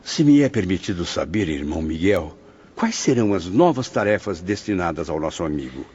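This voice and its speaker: A man's voice: deep voice, very animated, brisk, a little mellow, highs cut off. An actor playing an inquisitive spirit who earnestly questions his mentor. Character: inquisitive male spirit, a suicide. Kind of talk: audio drama acting.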